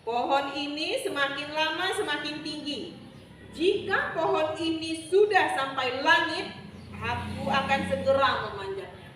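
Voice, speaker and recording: Woman as loud, vengeful legendary strongman. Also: high-pitched, unhurried, heavy reverb, distant mic, slight background noise, low-quality recording. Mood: determined.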